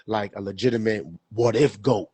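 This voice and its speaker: A man's voice: on the low side, fairly even intonation, moderate pace, dark tone, breathy edge, no room sound. A young man hosting a podcast, chatting casually about sports, stuffy with a cold and rambling with many fillers and repeats. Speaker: podcast co-host.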